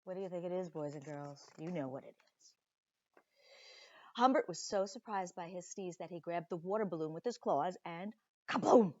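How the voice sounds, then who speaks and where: A woman reading a children's story aloud expressively, with deliberate dramatic pauses and chatty asides to young listeners, like a teacher. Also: lowish voice, a little mellow, no echo, muffled recording.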